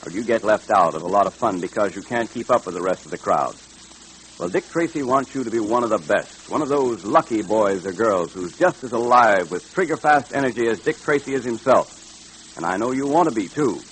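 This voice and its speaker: Husky American radio announcer man. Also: lowish voice, lively intonation, fairly quick, rough recording. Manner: emphatic, salesmanlike. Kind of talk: 1940s radio announcing, scripted sponsor pitch and narration.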